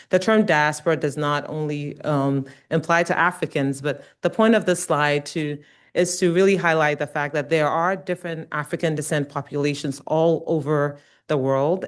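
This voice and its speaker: A middle-aged woman from Ghana giving an academic lecture, speaking earnestly with frequent "um" and "uh" fillers. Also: deep voice. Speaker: guest lecturer, nursing researcher.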